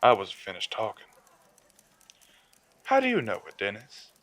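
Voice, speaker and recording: Man acting a bright, taunting serial killer caller. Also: lowish voice, huge pitch swings, moderate pace, dry recording. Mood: taunting, mock grief. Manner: taunting, theatrical, with sinister chuckles. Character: gloating, sinister male serial killer phoning a radio show. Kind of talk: radio drama villain acting.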